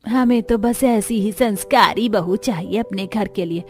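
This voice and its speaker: A woman's voice: medium pitch, unhurried, close to an everyday voice. A woman voicing a rich, doting mother-in-law in a cartoon story, sweetly formal. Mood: gracious, doting. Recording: music under the voice.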